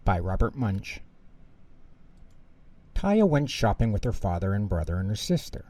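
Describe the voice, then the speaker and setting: A man reading a children's bedtime story aloud in an animated narrating style. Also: lowish voice, unhurried. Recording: roomy echo, distant mic.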